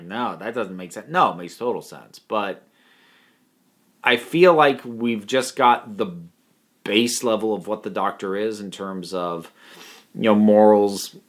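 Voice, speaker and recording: A man hosting a casual video review, talking to viewers in a halting, thinking-aloud way with emphatic pauses. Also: on the low side, a bit bright. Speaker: video reviewer and channel host.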